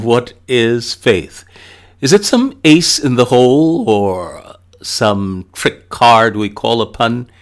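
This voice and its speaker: A man hosting an interview show, reading a scripted intro and then questioning his guest in a measured, deliberate way. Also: medium pitch. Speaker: host.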